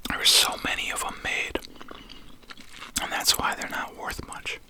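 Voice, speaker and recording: A video host whispering casually in an unvoiced ASMR style, with halting, conversational delivery while showing trading cards. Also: pushed and bright. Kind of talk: whispered ASMR card-opening chat.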